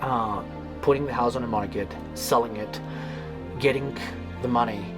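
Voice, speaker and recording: A man from Greece co-hosts a travel vlog, talking eagerly with a few halting fillers. His voice sits at a middle pitch. Music plays clearly under the talk. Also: steady tone. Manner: enthusiastic, a bit halting with fillers. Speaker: vlog co-host. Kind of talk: casual chat to camera.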